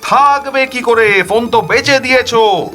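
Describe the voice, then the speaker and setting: A man voicing an angry married woman in an audio story, shrill, indignant and haranguing. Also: unhurried, pushed and bright, faint music behind, full character voice. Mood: accusing. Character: angry, scolding married woman accosting a supposed husband.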